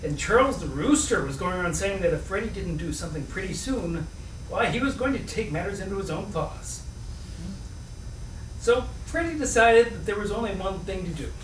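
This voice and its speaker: A man giving an expressive, playful live storybook reading, with chatty asides to his listeners. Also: high-pitched, moderate pace. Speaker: reader at a live book reading. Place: indoor room at a live reading. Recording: echoey room, distant mic, faint noise.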